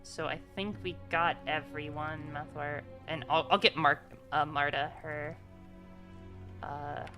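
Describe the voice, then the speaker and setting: A woman with a slightly low, very bright and forceful voice runs a tabletop session. She chats and narrates informally and swears casually, with music faintly behind her. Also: level tone, measured pace. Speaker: game master of a tabletop roleplaying game.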